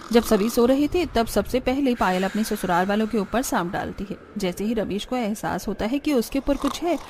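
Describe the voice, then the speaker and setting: A woman's voice: a little high, slight background noise. A woman narrating a cartoon story in plain, scripted storytelling.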